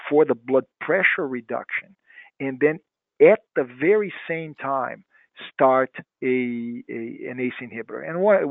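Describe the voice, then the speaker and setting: A man's voice: medium pitch, no echo. A Brazilian man whose first language is Portuguese, a guest physician explaining clinical points in a podcast interview and often restarting his phrases. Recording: tinny phone-like line.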